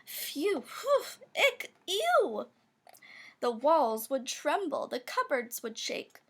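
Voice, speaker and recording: A woman's voice: sits high, big pitch swings, takes it slowly. A woman reading a rhyming children's picture book aloud, with playful emphasis on the sound words. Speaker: storybook reader.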